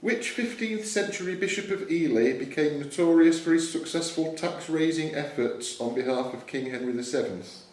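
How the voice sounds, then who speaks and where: Male quizmaster, slightly high voice, reading questions aloud in an echoey room, picked up from a distance. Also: moderate pace. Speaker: quiz question reader. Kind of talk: live quiz question reading. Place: club room at a live quiz tournament. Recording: roomy echoey hall, distant mic, faint noise.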